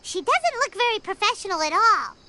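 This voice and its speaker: Squeaky, excitable little fairy companion. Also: female voice, brisk, noisy background. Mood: doubtful. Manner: squeaky, exclaiming, refers to self by name. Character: tiny excitable floating fairy companion, childlike, female. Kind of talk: video game character acting.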